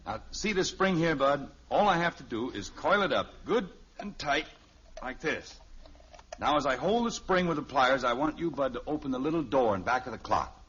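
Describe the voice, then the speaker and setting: A man's voice: mid-range voice, animated, normal speed, fairly bright tone, husky. An actor playing a stubborn, testy family father with a sardonic streak in a 1950s radio sitcom. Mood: concentrating. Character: stubborn, easily exasperated middle-class family father. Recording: dull, noisy old radio recording.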